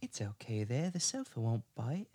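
A man voicing a smooth, menacing adult male crime boss in a read-through comedy skit, speaking with mock politeness. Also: mid-range voice, wildly swooping pitch, moderate pace, dark tone, dry recording. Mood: suave.

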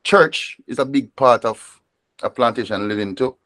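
A man's voice: medium pitch, normal speed, fairly bright tone, no room sound. A Jamaican man, a reggae artist, talking reflectively in an interview, often restarting and repeating words as he gathers his thoughts. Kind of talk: conversational interview.

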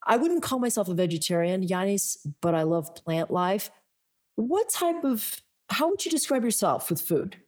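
A woman's voice: medium pitch, sing-song, moderate pace. A woman hosting a casual interview, chatty and loose, with frequent fillers. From Greek family roots. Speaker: podcast host.

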